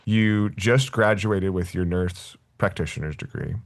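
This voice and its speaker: Pastor hosting, low voice, many restarts. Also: male voice, measured pace. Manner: stammering restarts, friendly questions. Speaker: pastor and interview host. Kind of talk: casual church interview.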